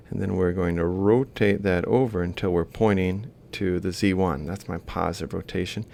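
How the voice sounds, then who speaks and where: A man's voice: sits low, creaky. A man lecturing informally, explaining a worked example step by step and thinking aloud as he writes. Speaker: university lecturer.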